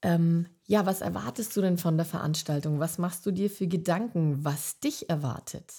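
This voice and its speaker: A woman podcast host with a fairly low pitch and a dark, relaxed tone. She interviews in a casual, conversational way. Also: moderate pace, husky.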